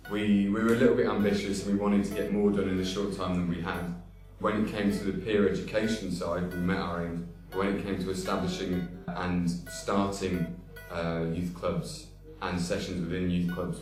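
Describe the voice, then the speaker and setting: A man in his twenties answering interview questions about volunteering, often pausing with fillers. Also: low-pitched. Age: 20s. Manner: hesitant, with many uh fillers. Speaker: returned volunteer. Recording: echoey room from far off.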